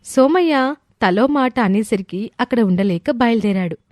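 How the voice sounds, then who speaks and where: A woman's voice: on the high side, lively intonation, a little slow, a little mellow, no room sound, studio quality. A woman reading a scripted moral story as its narrator. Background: background music.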